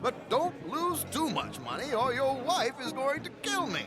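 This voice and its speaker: A breezy male schemer in a western game. His high voice swoops about with an affected, condescending chattiness, and music plays clearly behind it. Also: very animated, measured pace, full character voice. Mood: breezy, scheming. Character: dapper fussy male con man, breezily scheming. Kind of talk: cinematic video game acting.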